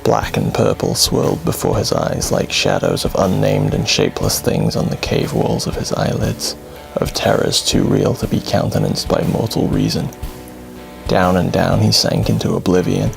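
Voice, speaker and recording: A man narrating a scripted horror bedtime story in a grave, dramatic, deliberate way, with music under his voice. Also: lowish voice, lively intonation, creaky.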